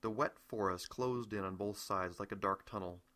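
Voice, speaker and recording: A middle-aged American man narrates a novel in the first person. His voice is fairly low yet bright and forward, delivered as a scripted audiobook reading by the author. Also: moderate pace.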